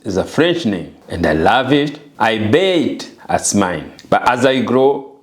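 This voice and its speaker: A middle-aged man from Rwanda giving an earnest, emphatic personal message straight to the viewer and repeating his phrases for weight. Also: mid-range voice. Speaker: vlogger.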